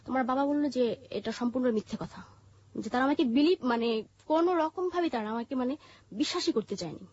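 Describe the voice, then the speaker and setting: High-voiced Bangladeshi woman, husky and halting. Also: a little mellow. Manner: halting, with frequent pauses and repeated phrases. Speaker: guest telling own life story. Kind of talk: personal life-story narration in an interview. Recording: muffled, dull lo-fi audio.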